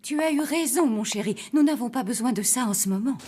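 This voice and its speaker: A woman's voice: a little high, animated, fairly quick, warm tone, slight background noise, close to an everyday voice. A woman voicing a genteel, morbid zombie mother in a cartoon, with a theatrical manner. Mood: mild. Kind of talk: French cartoon dub acting. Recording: music behind the voice.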